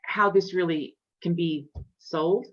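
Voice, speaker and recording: Woman, call participant; slightly low voice, halting but keen, lo-fi sound. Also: a bit bright. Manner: eager, hesitant starts. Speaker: church participant in a video call. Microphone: video call.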